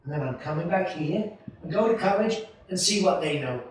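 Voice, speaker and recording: Man acting a restless young idealist, radio play, high voice, folksy, impassioned, very echoey, faint noise. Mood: dreamy, eager. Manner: excitable, impassioned, halting and folksy. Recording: roomy, distant stage recording, faint noise.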